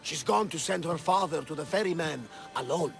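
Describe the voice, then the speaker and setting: Casting: a scarred, jovial second-in-command at sea for a game, rough creaky voice pitched high. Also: male voice, expressive, moderate pace, over music. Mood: wry, storytelling. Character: hearty, battle-scarred one-eyed sailor lieutenant, loyal and celebratory. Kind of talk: cinematic video game acting.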